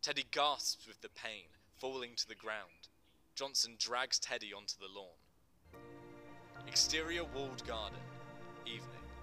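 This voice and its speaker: A man's voice: medium pitch, a little slow, bright, forceful tone, faint music behind. A male actor giving scripted, dramatic narration of a screenplay in terse stage directions.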